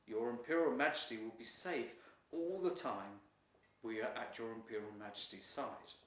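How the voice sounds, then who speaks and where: A man's voice: medium pitch, moderate pace, a bit bright, close to an everyday voice. A man voicing a loyal guardian lion in a storybook, speaking formally and with deference. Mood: solemn, deferential. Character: loyal, dutiful male guardian lion servant of emperor. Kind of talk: storybook character dialogue. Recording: roomy echo, distant mic.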